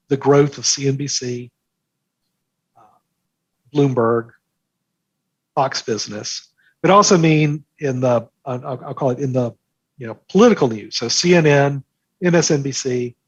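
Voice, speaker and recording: A man's voice: medium pitch, breathy edge, dry recording, lo-fi sound. A man talking as an interview guest, explaining things conversationally and emphatically, repeating phrases for effect. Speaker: interview guest, markets newsletter author.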